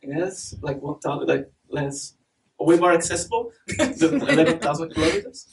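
Brazilian guy giving a conference talk, bit high voice, keeps laughing, sounds far off w faint hiss. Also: moderate pace. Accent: Brazilian Portuguese. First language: Portuguese. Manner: chatty, laughs often, many fillers. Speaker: conference speaker. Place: dark event hall with stage and projector. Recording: distant mic with faint noise.

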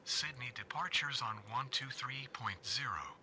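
Bright, brisk man playing airline pilot. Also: medium pitch, expressive. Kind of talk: clipped radio readback. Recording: harsh, faintly noisy radio audio.

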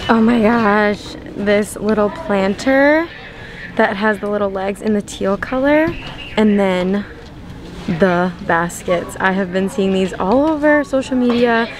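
A woman's voice: medium pitch, noisy background. A young woman narrating a casual shop-with-me video in a large store, bubbly and gushing, with many "like" fillers, recorded on a handheld phone. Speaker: shopping vlogger. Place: large retail store, indoors. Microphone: handheld phone camera.

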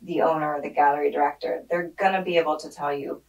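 Low-voiced woman with a gravelly edge. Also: quick pace, recorded from a distance, poor audio. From born in Germany, grew up in Hungary. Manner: relaxed, thoughtful, with frequent fillers. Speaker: guest, street art curator. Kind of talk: conversational interview answers. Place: studio with dark padded walls. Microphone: podcast mic on a desk arm.

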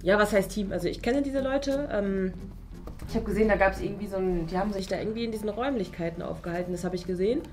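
A young woman with a medium-pitched voice explains herself quickly. She is casually improvising as a suspect in a game. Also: a little mellow. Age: young adult. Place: indoor studio room at a table.

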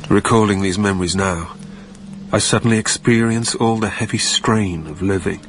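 A man playing a weary dead man who narrates his own haunting in a reflective, measured, lyrical manner in an audio drama. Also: on the low side, slight background noise, close to an everyday voice. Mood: melancholy, reflective.

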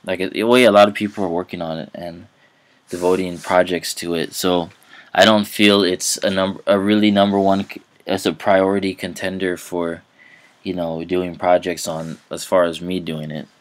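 A young man giving a casual, halting explanation over a screen recording, full of fillers and restarts. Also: low-pitched, level tone. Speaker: language hobbyist vlogger.

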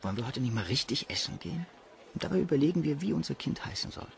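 Lively, gravelly expectant father. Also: on the high side, fast, slight background noise. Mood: tender, teasing. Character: affectionate, easygoing expectant father. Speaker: actor in a crime radio play. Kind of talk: German crime radio drama acting, natural dialogue.

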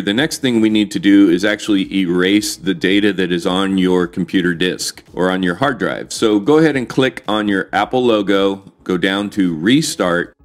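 A middle-aged man hosting a tech tutorial, walking through steps in a clear, upbeat, chatty way, recorded in a living room. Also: lowish voice. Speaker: tech video host. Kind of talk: step-by-step tutorial narration.

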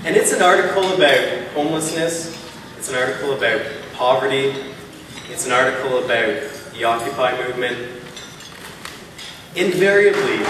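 A man in his thirties delivers a keynote from a podium, steady and persuasive, stacking his points in rhythmic runs. His voice rings out through a big reverberant hall. The recording is taken from well back in the room, with a clear hum of ambient noise underneath. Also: mid-range voice, recorded from a distance. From Canada. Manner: earnest, persuasive, builds points in rhythmic lists. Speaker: keynote speaker. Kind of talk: public speech. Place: large hall, at a podium on stage. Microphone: podium mic, filmed from far off.

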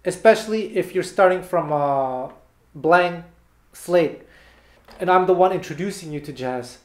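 Male guitar teacher, 30s, animated explainer, slightly high voice, echoey small room, guitar under. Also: unhurried. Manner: animated, explains with hand gestures between playing. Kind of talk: casual video lesson. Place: small bare room indoors, seated with guitar. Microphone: fixed camera at a few meters. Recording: roomy echo with guitar playing.

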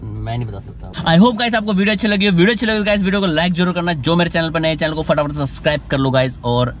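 A man's voice: fairly high pitch, animated, quick pace. A young man vlogging from a car, pleading and teasing, breaking into laughter now and then. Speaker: prank vlogger. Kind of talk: casual vlog chat. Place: inside a parked car, outdoors on a street. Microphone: camera mounted on the dashboard. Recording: noisy car interior with street noise.

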